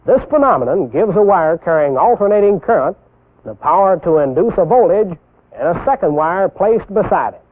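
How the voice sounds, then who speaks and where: A young American man giving scripted instructional narration as a military instructor, deliberate and didactic, posing rhetorical questions. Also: high voice, expressive. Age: young adult. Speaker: military instructor presenting a training film. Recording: tinny band-limited 1960s film soundtrack.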